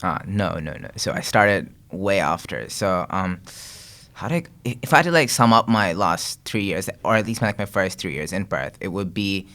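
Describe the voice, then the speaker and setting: A man's voice: low-pitched, lively intonation, normal speed, sharp tone. A young man from Bangladesh, a guest content creator, talking earnestly and reflectively in a casual interview, with frequent fillers and restarts. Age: young adult.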